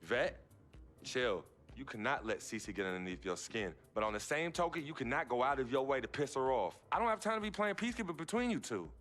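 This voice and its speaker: A man's voice: medium pitch, lively intonation, brisk, fairly bright tone, soft background music, natural voice. An American man acting a driven young basketball star in a video game cutscene, speaking in a firm, street-casual way. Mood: stern, commanding. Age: young adult.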